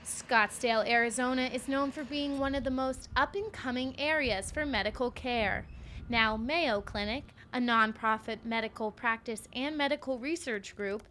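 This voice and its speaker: A woman in her twenties reading a scripted news report as a student reporter, with clear background noise. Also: a little high, normal speed, a bit bright. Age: 20s.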